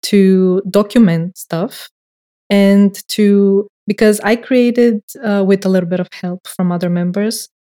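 A young woman speaks English with a Bulgarian accent, her voice mellow and warm. She talks thoughtfully, hesitating and laughing lightly as she explains her work on a podcast. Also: medium pitch, steady tone, unhurried. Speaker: director of records and research. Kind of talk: casual podcast interview.

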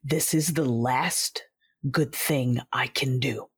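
A woman voices a proud female Sith warrior in a sci-fi audio drama, narrating in the first person. Her voice is husky and deliberate, cold and controlled. The sound is dry and close. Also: extremely low pitch, no echo, full character voice.

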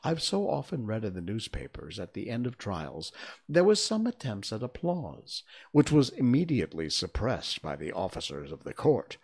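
A man's voice: on the low side, huge pitch swings, normal speed, breathy edge, full character voice. A man voicing a meek but sensible young storybook girl in a mild, matter-of-fact way. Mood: musing.

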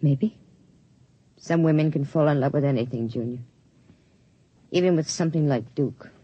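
A woman's voice: low-pitched, moderate pace, mellow, husky, dry recording, muffled recording. An actress playing a fearful, guarded gangster's girlfriend in a 1950s radio drama, speaking anxiously. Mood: wary, weary.

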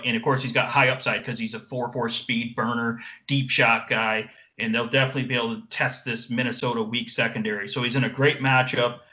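A man's voice: medium pitch, bright, forceful tone, rough recording. An American man giving a conversational fantasy sports breakdown as an analyst, explaining at length in a talkative way.

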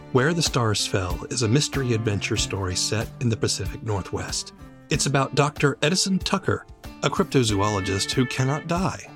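A man hosting a podcast, presenting scripted introductions and interviewing a guest. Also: mid-range voice.

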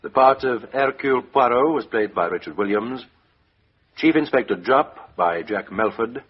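A man reading the closing credits of a radio play in a measured, formal announcer style. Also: mid-range voice, lively intonation, slightly rough. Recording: dull lo-fi 1950s radio broadcast.

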